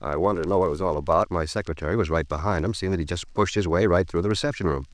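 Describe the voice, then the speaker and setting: Fast-talking, low-voiced earnest attorney played by a man. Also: dry recording, close to an everyday voice. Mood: earnest, concerned. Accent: American. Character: earnest, cautious male attorney, talkative and proper. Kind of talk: 1950s radio drama dialogue.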